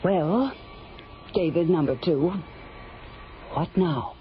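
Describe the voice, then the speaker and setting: An actress playing a brisk, commanding female scientist in an old radio drama. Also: lowish voice, expressive, a little hiss or hum. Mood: wry. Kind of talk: 1970s radio drama acting. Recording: dull old radio recording with music.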